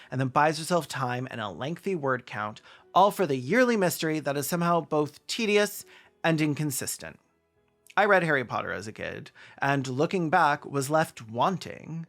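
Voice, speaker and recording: A man's voice: a little high, fairly bright tone. A man delivering scripted commentary as a video essayist, sardonic and emphatic, with casual asides. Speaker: video essayist, channel host.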